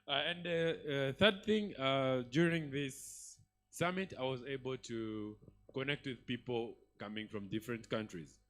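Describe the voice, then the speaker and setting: Halting Congolese man giving remarks. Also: mid-range voice, fairly bright tone. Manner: halting, pausing between points. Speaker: summit attendee giving feedback. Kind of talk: impromptu public remarks.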